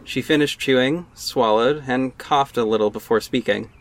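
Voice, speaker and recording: A man's voice: mid-range voice, moderate pace. A young man narrating his own audio drama in the first person, wry and casual. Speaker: narrator and lead actor. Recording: music bed underneath.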